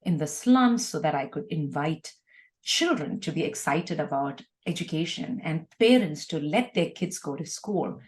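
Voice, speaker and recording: A middle-aged woman tells personal stories as a podcast guest, acting out the exchanges in an animated way. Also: low-pitched, unhurried. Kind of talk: personal storytelling in an interview.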